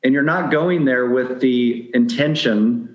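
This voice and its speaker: A man in his forties speaks as a guest coach, offering advice conversationally. His voice sits at an average pitch, and the recording is noticeably roomy and echoey. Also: a little slow, muffled recording. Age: 40s.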